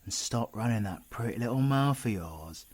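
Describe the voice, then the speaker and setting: A British man playing a cocky, irritable, teasing supervillain in an intimate audio roleplay, with a husky voice and gruff, sardonic delivery. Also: medium pitch, animated, moderate pace, a little mellow, natural voice. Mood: urgent, bossy. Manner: gruff, sardonic, swearing banter.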